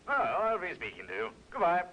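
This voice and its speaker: An actor playing a jovial, sociable colonel in an old radio drama. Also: male voice, high-pitched, wildly swooping pitch, a little fast, vocal fry, heavily acted. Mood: affable. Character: hearty, jovial British military colonel, smoothly sociable. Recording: very noisy, muffled old radio recording.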